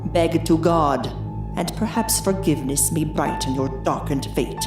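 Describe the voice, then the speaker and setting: An actress playing a cold, strict headmistress who speaks with stern religious severity. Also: female voice, low-pitched, a little slow, reverberant, over music. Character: cold, strict older headmistress, a former nun.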